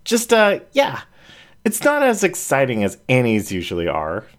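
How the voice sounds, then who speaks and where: A woman's voice: a bass voice, huge pitch swings, moderate pace, heavily acted. A reader voicing a shy young female raccoon who talks in hesitant, emotional bursts. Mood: shy, tender.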